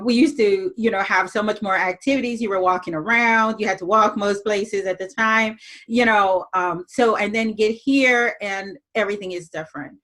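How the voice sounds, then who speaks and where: Interview guest: woman from Jamaica, reflective and unhurried, mid-pitched voice, fillers and restarts. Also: a bit bright. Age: adult, moved abroad as young teen. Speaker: podcast guest. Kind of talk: casual interview talk.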